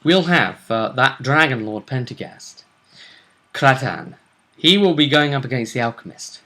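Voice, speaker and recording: A man co-hosting a gaming vidcast, giving a casual, measured recap and commentary in slightly formal wording. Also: mid-range voice, animated, bright, forceful tone. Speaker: co-host of a tabletop roleplaying vidcast.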